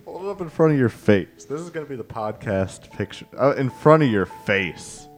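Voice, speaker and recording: A man's voice: medium pitch, moderate pace, faint music behind. A man hosting a podcast, chatting casually and joking with friends. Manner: joking, animated. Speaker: podcast host. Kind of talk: casual chat.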